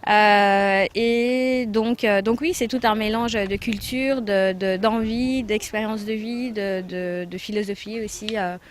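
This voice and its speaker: A woman with a mid-range voice answers interview questions about a building project. She talks haltingly, with many fillers between her phrases. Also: mid-range voice, steady tone, a little hiss or hum. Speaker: project participant.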